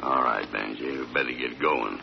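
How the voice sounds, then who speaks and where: An actor playing a stubborn, earnest rural father with a halting, folksy drawl in an old radio drama. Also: very deep voice, vocal fry, heavily acted. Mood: resolute. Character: stubborn rural father devoted to his hound. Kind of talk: 1940s radio drama acting. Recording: muffled, noisy old radio recording.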